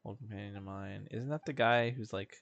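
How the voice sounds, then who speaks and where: A man's voice: fairly low pitch, brisk, creaky, a bit bright, lo-fi sound. A man streaming a video game, chatting casually and reading dialogue aloud with mumbly asides and stumbles. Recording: background game music.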